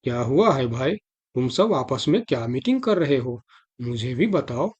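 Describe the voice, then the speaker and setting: A man plays an elephant who guards the jungle in a children's cartoon. He is dutiful and wary, with a stern, questioning delivery at a medium pitch. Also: very animated, moderate pace, a little mellow, slightly rough. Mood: concerned. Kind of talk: Hindi children's cartoon dialogue.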